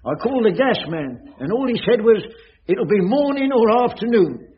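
An actor playing a pompous, fussy jobsworth caretaker in a vintage radio sitcom. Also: male voice, sits high, very animated, normal speed. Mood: grumbling. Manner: pompous, self-important announcing. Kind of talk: vintage British radio comedy acting. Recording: dull vintage radio recording, loud audience, noise. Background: studio audience.

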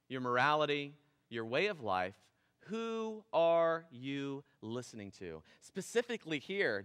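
A man in his thirties preaching a casual, animated sermon on a church stage. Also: a little high. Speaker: pastor. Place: church stage with drum kit. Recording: long hall reverb in church.

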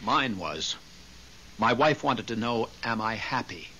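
A man's voice: medium pitch, animated, slow pace, sharp tone. A man playing a nervous, deferential dead husband, stammering and polite, in 1970s radio drama style. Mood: nervous, pleading. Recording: muffled, hissy 1970s radio recording.

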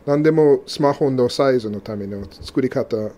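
Halting man, mellow voice. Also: medium pitch. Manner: halting non-native speech with frequent restarts and laughs. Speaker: co-host. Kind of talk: casual radio chat.

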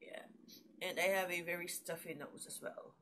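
A young woman vlogging casually at home, hoarse and low-voiced from coughing, rambling slowly with fillers and pauses. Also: steady tone, bright, forceful tone. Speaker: vlogger and mandolin player. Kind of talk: casual chat to camera. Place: small room indoors. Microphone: webcam or laptop at desk.

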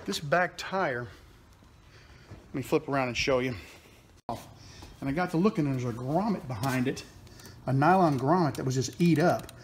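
A man's voice: a little high, slight background noise. A man in his forties, chatting casually in a rambling DIY vlog, filmed outdoors in his backyard and garage on a phone at arm's length. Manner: chatty, rambling with fillers and restarts. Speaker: workshop vlogger. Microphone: phone held at arm's length.

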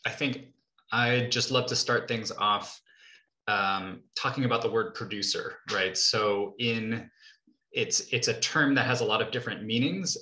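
A man's voice: on the low side, pushed and bright. A man in his thirties moderating an online discussion in a conversational way, thinking aloud, on a video call from his living room. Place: living room at home, indoors. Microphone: video call, computer mic at desk distance. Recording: roomy, echoey video call sound.

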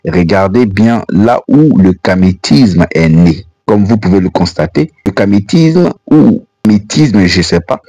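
A man's voice: sits low, animated, a little slow, hardly any pauses, warm tone, dry recording, dull audio. An African man whose first language is not French, reading an earnest, admonishing voice-over commentary for a religious channel. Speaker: Islamic channel narrator.

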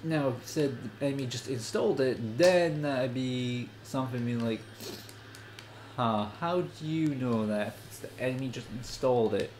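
A man with a bit of a stuffy nose chats offhandedly over his gameplay, in a middle-pitched everyday voice. A faint hum of room ambience sits underneath. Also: normal speed. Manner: relaxed, chatty, offhand. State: sniffly, stuffy-nosed. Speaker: let's play host. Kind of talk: casual gameplay commentary.

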